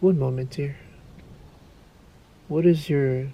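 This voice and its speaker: A man playing a sadistic, courtly vampire lord in a roleplay audio drama, suave and menacing, with formal, drawn-out politeness. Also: a little high, steady tone, vocal fry, dull audio, full character voice. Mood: coaxing, insistent. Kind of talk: scripted roleplay audio drama, addressed to listener.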